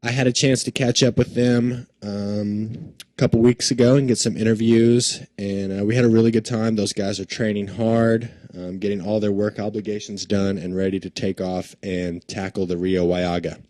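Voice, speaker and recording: A man's voice: on the low side, a little mellow, no room sound. A man hosting an episode, introducing it in a casual, conversational way. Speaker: show host.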